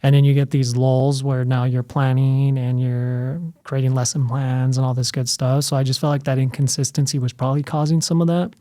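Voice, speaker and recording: A middle-aged man telling his story in a relaxed podcast interview, full of "you know" fillers. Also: mid-range voice, brisk, few pauses, no echo. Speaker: guest, fire academy training cadre member.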